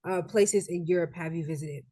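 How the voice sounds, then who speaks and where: Female interview host, lower-pitched, relaxed, friendly questioner, talking over a call. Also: normal speed. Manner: friendly, frequent "mm" and "right" as she listens. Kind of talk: casual interview chat.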